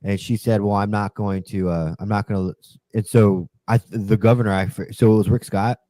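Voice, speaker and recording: Guy chiming in on a podcast, deep mellow voice, super dry sound. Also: steady tone, normal speed, no echo. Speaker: podcast panelist. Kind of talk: casual chat.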